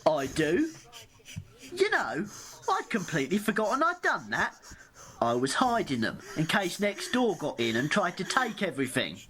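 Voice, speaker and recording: Woman acting indignant, lively-voiced train passenger. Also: fairly low pitch, normal speed. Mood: sheepish. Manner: aggrieved, finger-pointing. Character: indignant female passenger, defensive and accusing. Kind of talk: amateur radio play acting.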